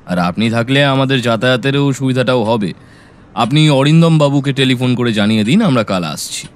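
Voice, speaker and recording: A man playing a calm, analytical private detective in an audio story, reasoning and questioning in a measured way. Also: mid-range voice, lightly acted. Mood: decisive.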